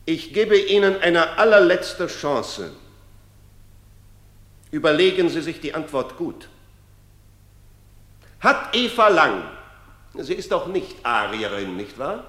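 An actor playing a stern judge who presses a defendant in a radio drama. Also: male voice, a little high, big pitch swings, takes it slowly, pauses often, full character voice. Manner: stern, pressing, then shouting. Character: stern male Nazi-era judge interrogating. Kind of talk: 1950s radio drama acting. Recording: roomy hall reverb.

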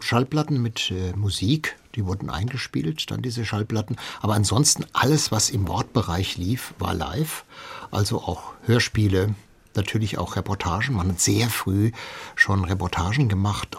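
Low, slightly husky male radio guest. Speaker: studio guest, radio editor and historian. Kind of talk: explanatory interview talk. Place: radio studio.

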